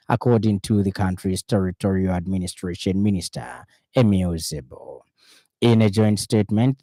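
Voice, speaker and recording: Zambian male radio news presenter, formal read, low relaxed voice, dry studio sound. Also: dry recording. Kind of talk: scripted news bulletin reading.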